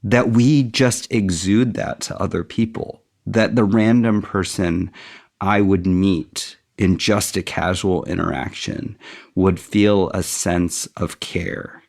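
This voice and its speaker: Low gravelly-voiced man, reflective. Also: slow, darkish tone. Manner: thoughtful, candid, self-deprecating. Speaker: interview guest, author. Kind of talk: reflective interview conversation.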